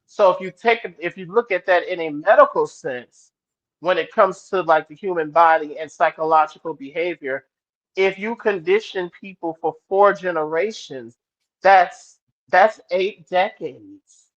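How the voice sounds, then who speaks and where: A man with a fairly high voice, talking with blunt, profane emphasis. He often stops and restarts his sentences. The sound is a little dull and lo-fi. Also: a little slow, a bit bright. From New Orleans, US. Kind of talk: casual discussion.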